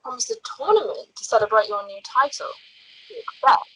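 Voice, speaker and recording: A woman's voice: a little high, very animated, a little fast, fairly bright tone, slight background noise, poor audio. A woman playing an arrogant young knight, smug and mock-courteous, in an amateur script table read. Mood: smug, needling. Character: smirking, arrogant young knight, male, needling a rival.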